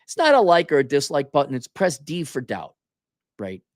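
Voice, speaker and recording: Man, livestream host, lively swooping intonation, sarcastic, exasperated, colloquial, unscripted rant, dry close sound. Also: a little high, moderate pace, no echo. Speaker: online commentary host answering viewer comments. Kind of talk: off-the-cuff opinionated livestream rant.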